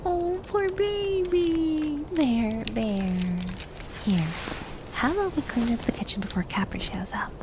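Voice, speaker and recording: A woman voicing a playful, clingy, fretful young twin maid, expressive and soft, often whispering in an ASMR roleplay. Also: high voice, slow pace, background noise. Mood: fussing, tender.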